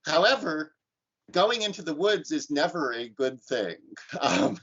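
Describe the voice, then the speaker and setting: A man's voice: fairly high pitch, big pitch swings, measured pace, a bit bright, breathy edge, highs cut off. A man instructor teaching an online class and leading discussion, talking thoughtfully with frequent restarts and ums.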